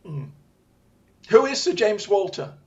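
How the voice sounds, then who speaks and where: Casting: performer as incisive gentleman detective, lightish voice, very animated intonation, theatrical radio mystery, dull-sounding microphone. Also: mid-range voice, a little slow. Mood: cool, amused. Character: keen, brisk, incisive male detective. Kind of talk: radio drama acting.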